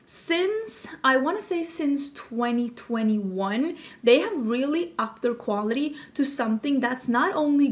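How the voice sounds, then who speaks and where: A woman in her thirties from Sweden with a Swedish accent, chatting enthusiastically to camera in a makeup review and wandering into little asides. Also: a little high. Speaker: beauty youtuber. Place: home filming room with plants, indoors.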